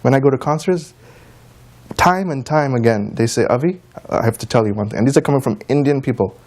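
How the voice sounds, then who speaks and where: A man with a mid-pitched voice talks as an interview guest. He speaks informally and tells things as anecdotes, in a loose conversational way. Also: fairly quick, a little mellow. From United States, of Trinidadian and Guyanese descent. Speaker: interview guest, tabla player and teacher.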